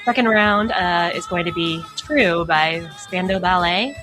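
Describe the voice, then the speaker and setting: A woman's voice: lowish voice, unhurried. A middle-aged woman hosting a podcast, talking casually about pop music. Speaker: podcast host.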